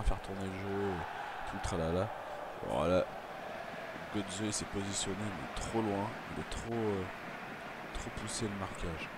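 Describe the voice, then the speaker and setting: A man's voice: lowish voice, very noisy. A man with a cold, chatting loosely through gameplay commentary with a stuffy, coughing voice. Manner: rambling, chatty, self-interrupting. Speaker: gaming video host.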